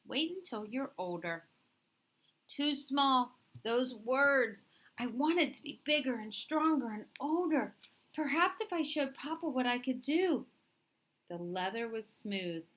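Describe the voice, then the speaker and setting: A woman reading a picture book aloud as a storyteller, with expressive, deliberate phrasing. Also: sits high. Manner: dramatic, deliberate phrasing with acted dialogue. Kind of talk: expressive children's read-aloud narration.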